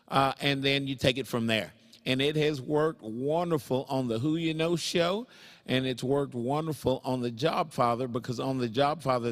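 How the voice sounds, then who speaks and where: A man hosting a career networking meeting, rambling with jokey self-deprecating asides between announcements. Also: mid-range voice. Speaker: networking group host. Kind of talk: live event emcee talk. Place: event hall. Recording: reverberant event hall.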